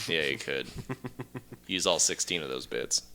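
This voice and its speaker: A man's voice: rumbling low, a little slow. A man co-hosting a podcast, playful and a bit crude, telling stories and bantering casually with friends. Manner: playful, animated, mildly crude. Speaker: podcast co-host. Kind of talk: casual joking banter and storytelling.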